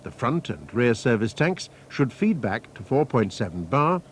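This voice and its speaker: A man reading scripted technical instructions as a training film narrator, in a measured, methodical, formal manner. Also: mid-range voice, very animated. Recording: faint noise, archival training film soundtrack.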